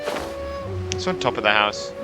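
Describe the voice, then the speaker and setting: A man's voice: fairly low pitch, lively intonation, unhurried, over music. A man giving casual, informal let's-play commentary, reacting and muttering as he plays a video game. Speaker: streamer playing a video game.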